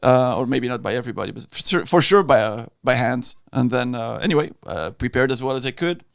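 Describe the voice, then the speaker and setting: A man's voice: medium pitch, animated, fairly quick, creaky. A man from Europe, a stand-up comedian, answering interview questions casually with hesitant restarts and laughs.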